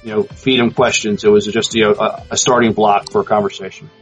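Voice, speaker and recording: A man's voice: fairly low pitch, little pitch movement, fast talker. A man chatting casually as a podcast co-host. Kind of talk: casual chat. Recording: slightly dull, faint music behind.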